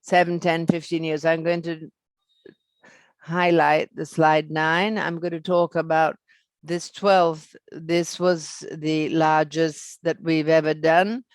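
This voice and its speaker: A financial presenter speaks at a slow pace on an earnings call, in a smooth, clean voice. The phrasing is halting and broken into fragments, and the sound is very dry with no room around it. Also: medium pitch, level tone, no echo.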